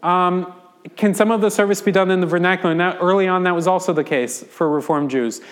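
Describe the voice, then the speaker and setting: An American rabbi speaks in a slightly higher than usual male voice. He lectures informally, stopping for ums and restarts as he explains. He sounds conversational, and he answers questions from his listeners. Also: fairly quick. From United States. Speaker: rabbi teaching a class.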